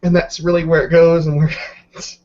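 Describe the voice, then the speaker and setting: A tabletop roleplaying player chatting and narrating informally, in halting, improvised phrases. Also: mid-range voice, level tone, a little mellow. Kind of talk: casual tabletop game chat and narration. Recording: slightly dull, lo-fi audio.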